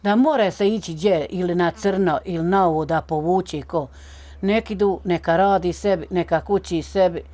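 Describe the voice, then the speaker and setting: A woman's voice: fairly low pitch, moderate pace, mellow. A woman with a Bosnian accent, speaking plainly as an interviewee in short, listing phrases. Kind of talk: plain spoken recollection.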